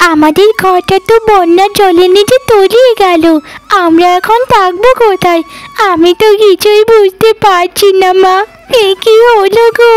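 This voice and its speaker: A woman voicing a worried, plaintive little village girl for a cartoon. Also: very high voice. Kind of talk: Bengali cartoon dub acting.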